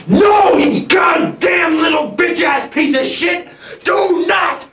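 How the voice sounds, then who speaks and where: A man's voice: very high-pitched, takes it slowly, shouting. A man screaming aggressive, profane threats on an amateur recording. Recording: echoey room with crowd noise.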